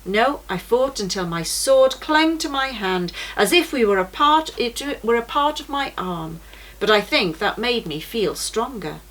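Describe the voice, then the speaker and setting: A woman's voice: a little high, lively intonation, measured pace, fairly bright tone, low-quality recording. A woman voicing a brave, earnest male pilgrim swordsman in a children's storybook. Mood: earnest, resolute. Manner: earnest storybook narration of his fight. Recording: noticeable background noise.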